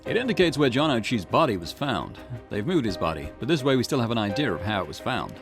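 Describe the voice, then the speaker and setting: Dude doing a laid-back young cop, chatty, voice swings a lot, bit of fry. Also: male voice, medium pitch, very animated, fast, music in the background. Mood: easygoing. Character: friendly young male police officer.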